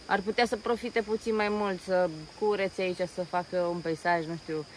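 A woman's voice: medium pitch, steady tone. A woman chatting casually as a travel vlogger, recorded outdoors. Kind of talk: casual travel vlog chat. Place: outdoors near a jungle railway bridge. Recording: noisy outdoor recording with insects, traffic.

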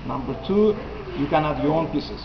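Russian accent guy presenting his project, stops and restarts a lot, dull camcorder sound with loud hum. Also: a little high, animated, vocal fry, roomy sound. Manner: halting, restarts phrases, chuckles at own jokes. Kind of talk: informal presentation to seniors. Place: large wood-beamed hall. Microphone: far camera.

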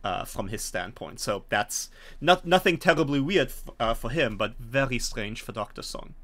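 A middle-aged man, explaining a tabletop scenario as game master in an animated way. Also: mid-range voice, normal speed. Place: small home room, on a video call.

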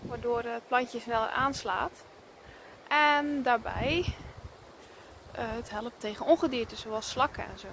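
Female vlogger, higher-pitched, very slow casual delivery, faint noise on a phone recording. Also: gravelly. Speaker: vlogger showing her kitchen garden. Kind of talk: casual home vlog narration. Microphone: phone at arm's length.

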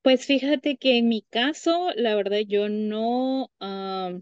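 A woman's voice: fairly high pitch, slow, smooth, dry recording, muffled recording. A woman in her thirties, a psychologist, chatting in an interview over a video call, with lots of fillers and restarts. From Honduras. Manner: chatty, many fillers and restarts, laughs often. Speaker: psychologist guest. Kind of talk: casual interview conversation. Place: home room by a window. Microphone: video call webcam.

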